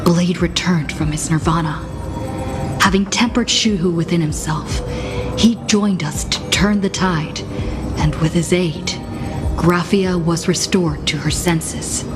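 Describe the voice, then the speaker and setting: A solemn female storyteller voiced by an actress recounts grim events in a video game. Her delivery is slow and measured, slightly low in pitch, with music clearly playing behind her. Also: close to an everyday voice. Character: grave woman recounting a battle and disaster. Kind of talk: scripted story recap narration. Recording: game audio under loud music.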